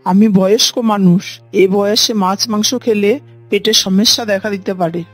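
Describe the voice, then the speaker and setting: A woman's voice: medium pitch, steady tone, moderate pace, lightly acted. A woman voicing an elderly village mother-in-law in a cartoon, plain-spoken and self-effacing. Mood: placating, gentle. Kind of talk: cartoon character dialogue. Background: faint music.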